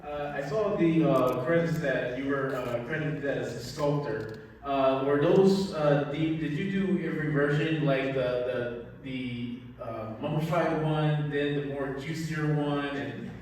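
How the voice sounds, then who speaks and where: A man's voice: a little high, unhurried, a little mellow, a little hiss or hum. A man in the audience asking a hesitant question full of restarts. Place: theater at a film festival. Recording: echoey hall, from far off.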